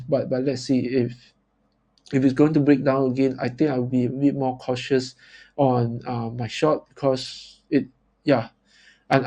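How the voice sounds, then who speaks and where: A man from Singapore with a Singaporean accent gives informal commentary as a trader, in a halting, repetitive, stop-start way. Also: mid-range voice, vocal fry, warm tone, breathy edge. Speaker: forex trader presenting market analysis. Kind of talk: informal live chart commentary.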